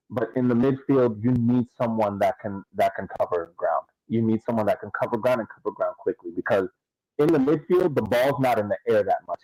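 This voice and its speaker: A man's voice: medium pitch, a little mellow, no echo, poor audio. A man co-hosting a casual sports podcast, explaining tactics and repeating phrases to make his point. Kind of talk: casual soccer tactics discussion.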